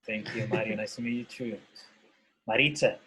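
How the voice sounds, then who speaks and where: A man's voice: lowish voice, steady tone, a little fast, a bit bright, slight background noise, low-quality recording. A man moderating an online talk, thanking each speaker and calling on the next one. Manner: brief and upbeat, calls on participants one by one. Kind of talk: webinar moderating. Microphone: video call.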